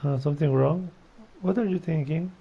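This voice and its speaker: A woman's voice: deep voice, moderate pace, creaky, muffled recording, a little hiss or hum. A woman streaming a video game, giving casual, informal reactions and muttered asides, often repeating her words. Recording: game music behind the voice.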